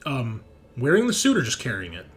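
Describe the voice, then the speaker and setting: A man's voice: medium pitch, huge pitch swings, fairly quick. A man narrating a tabletop roleplaying game as game master, conversationally, often checking in with his players. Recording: noisy background ambience.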